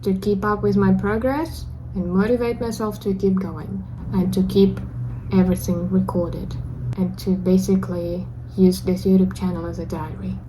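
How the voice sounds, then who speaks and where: A woman's voice: mid-range voice, steady tone, moderate pace, background noise. A young woman with a Russian accent, Russian her first language, talking earnestly and a bit awkwardly straight to her camera in a casual video diary. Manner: self-conscious, earnest, a little awkward. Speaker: vlogger. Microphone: camera mic.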